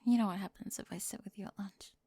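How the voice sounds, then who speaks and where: A woman voicing a flustered teenage cheerleader bully with a secret crush, stammering and slipping into breathy half-whispers in an ASMR roleplay. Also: medium pitch, fairly even intonation, quick pace, warm tone, no echo, close to an everyday voice. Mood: flustered, bossy.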